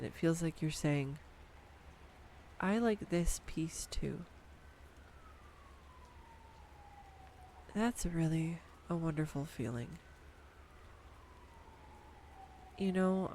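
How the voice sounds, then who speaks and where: A woman voicing a nerdy, affectionate girlfriend who rambles excitedly about animal facts in an intimate audio roleplay. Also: deep voice, a little hiss or hum. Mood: fond. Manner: enthusiastic, rambling, tangent-prone, giggly. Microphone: studio USB microphone.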